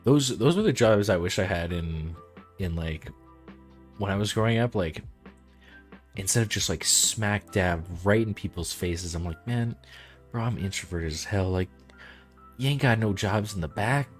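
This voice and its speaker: A man in his twenties with a deep, calm voice, chatting casually on a stream and rambling with lots of "like". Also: normal speed, soft background music. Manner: laid-back, rambling, heavy use of "like". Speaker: game developer streaming.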